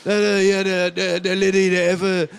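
Male co-host, high-pitched, dry and teasing. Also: completely flat delivery, moderate pace, gravelly, clean tone. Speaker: podcast co-host.

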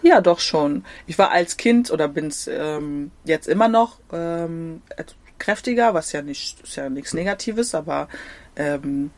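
A woman's voice: low voice, lively intonation, unhurried, a little mellow. A young woman from Germany, talking casually as an interview guest, hesitant with many fillers and frequent laughs. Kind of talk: casual conversation.

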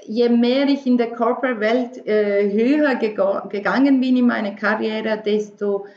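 A middle-aged woman from Venezuela with a Spanish accent, telling her story casually and haltingly as a non-native speaker, dropping in English business words. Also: fairly high pitch, fairly even intonation. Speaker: interview guest, marketer. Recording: muffled, echoey room.

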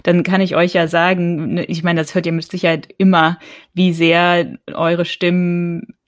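A woman's voice: fairly low pitch, level tone, measured pace, no room sound, clean studio sound. A woman co-hosting a podcast, talking enthusiastically and gigglingly as a longtime fan. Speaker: podcast co-host. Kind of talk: casual interview chat.

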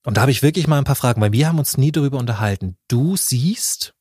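A man's voice: medium pitch, lively intonation, fast talker, darkish tone, breathy edge, no echo, clean studio sound. A man co-hosting a casual podcast, rambling with many restarts and blunt, coarse remarks. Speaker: podcast co-host.

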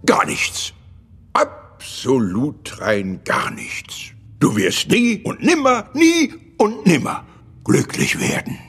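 A bitter old monster king in a cartoon story. The voice is very husky and breathy, crawls slowly and swoops wildly from murmur to proclamation, over faint music. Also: on the high side, huge pitch swings, fairly bright tone, exaggerated voice. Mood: bitter, hopeless. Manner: slow, dramatic, drawn-out. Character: gloomy, bitter old troll-eating monster king and father.

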